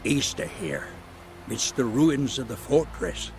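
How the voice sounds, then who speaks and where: An actor playing a plain-spoken, middle-aged village father in a video game, folksy and emphatic, with a creaky voice. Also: mid-range voice, sing-song, slow, slight background noise. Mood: fretful, fond. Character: plain-spoken village father, fretful and fond of his son. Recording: music behind the voice. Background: game music.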